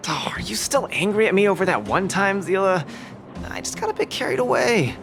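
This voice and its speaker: A young man's voice swings widely in pitch as a champion boxer in a video game. He is breezy, eager and friendly with a cocky streak, over clear background music. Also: sing-song, a little fast, heavily acted. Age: young adult. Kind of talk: video game dialogue acting.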